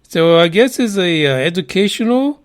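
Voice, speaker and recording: A man with a high voice hosts a loose news podcast. He swears freely, cracks himself up and wanders off into rambling asides while reading articles aloud. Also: animated, normal speed. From United States. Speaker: podcast host. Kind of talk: casual news commentary.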